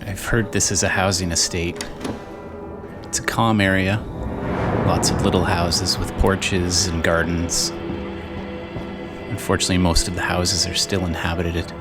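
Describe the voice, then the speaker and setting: Deep solemn dad in a war zone guy acting it, weary journal monologue, music behind. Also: little pitch movement, unhurried, pauses often. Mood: grave, guilty. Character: Christo, weary war-torn father writing a journal. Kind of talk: dramatized first-person journal monologue.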